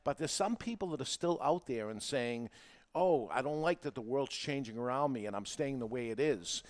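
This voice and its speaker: A man's voice: medium pitch, lively intonation, normal speed. A man hosting a talk podcast, explaining and persuading in an emphatic, repetitive way with rhetorical questions. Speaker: podcast host. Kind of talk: informal talk show monologue, explaining and persuading.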